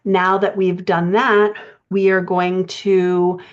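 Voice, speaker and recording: Low-pitched woman teaching, slightly dull audio. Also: flat delivery, slow pace. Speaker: writing coach presenting a podcast lesson. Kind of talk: explanatory lecture, thinking aloud from notes. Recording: dull, band-limited audio.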